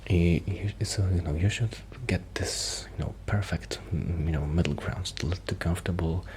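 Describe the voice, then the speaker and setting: Man, low pitch, soft and breathy, near whisper, hesitant ASMR rambling, noticeable background noise. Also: moderate pace. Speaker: ASMR roleplay performer.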